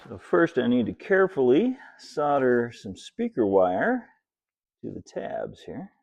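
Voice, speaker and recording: A man's voice: medium pitch, wildly swooping pitch, unhurried, creaky, a little mellow. A man in his fifties hosting a hands-on workshop tutorial in a casual, folksy, easygoing way, explaining things step by step. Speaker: host of a model railway channel. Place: indoor hobby workshop.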